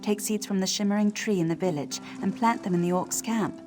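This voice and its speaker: An actress playing a haughty, sardonic wood elf village leader in a video game. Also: female voice, mid-range voice, a little fast, natural voice. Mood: commanding, wary. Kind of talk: video game character acting. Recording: music behind the voice.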